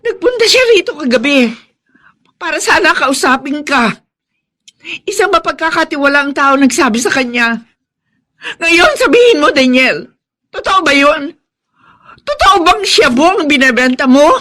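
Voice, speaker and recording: A woman acting as an anguished, pleading young wife and mother in a Filipino radio drama, with an emotional, drawn-out delivery. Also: high voice, lively intonation, bright, forceful tone, heavily acted. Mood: accusing, hurt.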